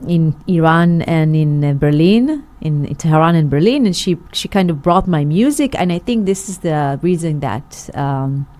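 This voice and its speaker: A woman's voice: sits low, lively intonation, a little slow, few pauses, mellow. A middle-aged woman, a singer, telling her story in an interview with many fillers and restarts. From Israel. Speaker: guest, singer. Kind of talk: interview conversation.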